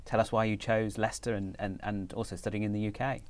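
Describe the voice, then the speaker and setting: A man hosting a university student panel, upbeat but halting, with many fillers and restarts. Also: lowish voice, level tone. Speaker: panel host, university recruitment head.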